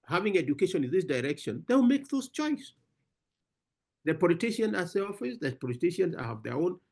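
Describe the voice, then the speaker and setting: A man with a somewhat high, gravelly voice answers questions at an online conference. His pitch swings widely as he presses his points, and he often repeats words before landing them. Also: a little mellow. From Africa. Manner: emphatic, repeats words, addresses listener as brother. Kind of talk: animated panel talk.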